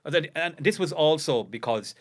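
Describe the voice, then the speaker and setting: A man's voice: fairly high pitch, animated. An Irish man co-hosting a history podcast, talking in casual conversation with frequent restarts and fillers. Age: under 50. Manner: fast, halting, many restarts and "you know". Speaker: podcast co-host.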